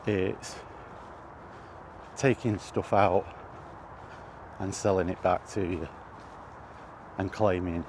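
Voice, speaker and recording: A British man giving rambling, casual commentary while walking outdoors, halting and thinking aloud as he goes. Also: low voice, steady tone, a little mellow. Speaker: video host. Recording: outdoor ambient noise throughout.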